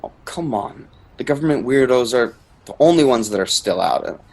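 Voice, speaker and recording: A man's voice: mid-range voice, lively intonation, normal speed, gravelly, a little mellow. An actor playing a skeptical, persistent man in a fan-made drama, hesitating and repeating himself as he questions things. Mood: insistent.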